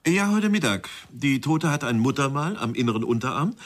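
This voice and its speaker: An actor playing a probing, matter-of-fact police inspector in a radio crime drama, questioning in a businesslike way. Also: male voice, mid-range voice, very animated, a little fast, natural voice.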